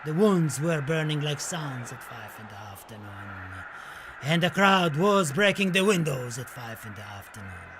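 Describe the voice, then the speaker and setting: A man's voice: mid-range voice, big pitch swings, moderate pace, over music. A man playing an impassioned, grieving Spanish poet in a radio play, reciting in a solemn, dramatic manner. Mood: solemn, mournful. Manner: solemn, dramatic, swelling from hushed to declaimed. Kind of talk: theatrical radio play monologue and poetry recital.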